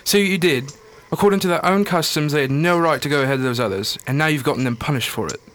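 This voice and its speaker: A man's voice: a little high, fast talker, fairly bright tone, close to an everyday voice. Man playing a dry, didactic space scientist. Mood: reproachful. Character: reasoning, sardonic male scientist defending alien customs. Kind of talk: radio drama acting. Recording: clearly noisy recording.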